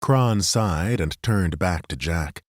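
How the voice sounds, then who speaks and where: A man reads a fantasy audiobook in a steady, even storytelling manner. His voice sits low, and the studio sound is dry and close. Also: takes it slowly, no room sound. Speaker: audiobook narrator. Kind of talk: scripted fantasy narration.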